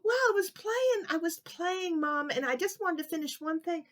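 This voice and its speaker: A woman voicing an eager, impatient little boy who pleads in a high voice. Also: expressive, normal speed, fairly bright tone. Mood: pleading. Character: eager little boy Kevin, whiny and excited.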